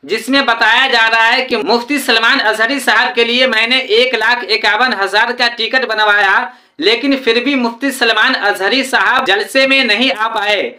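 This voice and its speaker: A woman's voice: a little high, steady tone, normal speed, pushed and bright. A woman presenting a video with scripted explanatory narration. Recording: roomy echo on voice.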